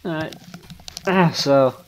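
Unhurried young man, gaming host. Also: a little high, slight background noise. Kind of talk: casual gameplay commentary and banter.

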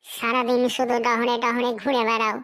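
A bossy, gullible cartoon wife played by a woman with a fairly high voice. She speaks a rural western Bengal dialect in a theatrical, scolding way, as in a broad domestic comedy. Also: flat delivery, moderate pace, a bit bright, natural voice. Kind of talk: comic cartoon dialogue.

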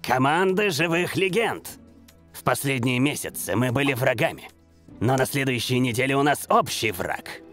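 Cartoon dub: man playing a measured, proud rival captain, mid voice, faint music. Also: medium pitch, lively intonation, fairly bright tone, lightly acted. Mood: formal.

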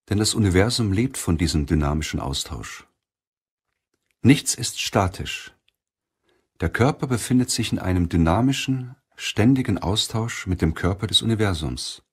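A man reading a self-help audiobook as narrator, in measured, deliberate phrasing. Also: low-pitched, breathy edge.

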